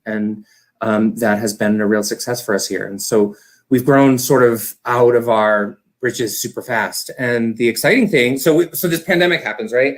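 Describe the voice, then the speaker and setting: A man talking informally as an interview guest, enthusiastic and rambling as he explains his work. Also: mid-range voice. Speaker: interview guest, community theater director. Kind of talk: casual conversational interview.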